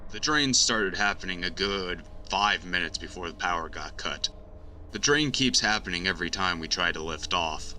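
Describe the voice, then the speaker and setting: A man playing a gruffly affable, polite man of action in an audio drama. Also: low-pitched, lively intonation, normal speed, bright, forceful tone, heavily acted. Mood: weary, grumbling. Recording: noisy background ambience.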